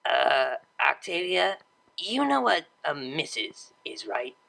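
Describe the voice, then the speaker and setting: A woman's voice: low voice, big pitch swings, takes it slowly, vocal fry, a bit bright, heavily acted. A woman voicing a brash, laid-back young unicorn mare DJ in a pony story, with a casual, slangy, offhand manner. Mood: awkward.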